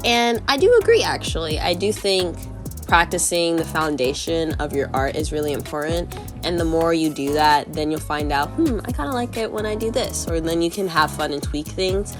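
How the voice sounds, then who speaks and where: Low-pitched woman, art YouTuber, chatty easygoing sketch-and-talk delivery, music under her voice. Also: lively intonation, normal speed. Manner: chatty, filler-heavy, upbeat. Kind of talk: casual chat while sketching. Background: background music.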